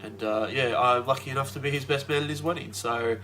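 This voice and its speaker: A man's voice: mid-range voice, a little fast, faint music behind. Sniffly man rambling in a video diary. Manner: relaxed, rambling, many fillers. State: slightly sniffly, voice a bit off. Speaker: comic artist vlogger.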